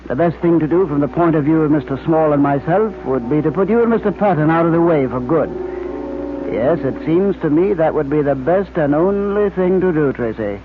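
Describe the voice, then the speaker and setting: An actor playing a cold, domineering, murderous crime boss in an old radio drama. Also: male voice, on the high side, expressive, a little fast, natural voice. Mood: coldly menacing. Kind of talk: 1940s radio acting. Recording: noisy, tinny old radio recording.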